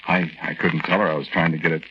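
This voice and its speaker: An American actor playing a nervous war veteran who tells his strange tale in halting, colloquial, confiding narration for a 1940s radio drama. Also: rumbling low, level tone. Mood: confiding, uneasy. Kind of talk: 1940s radio drama monologue, conversational first-person narration. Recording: noisy, muffled 1940s radio recording with clicks.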